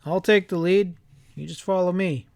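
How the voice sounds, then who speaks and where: Determined young country boy, acted by a man, desperate and pleading, pitched up, for a storybook. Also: normal speed, vocal fry, dry recording, clean studio sound. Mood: urgent, determined. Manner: earnest, pleading.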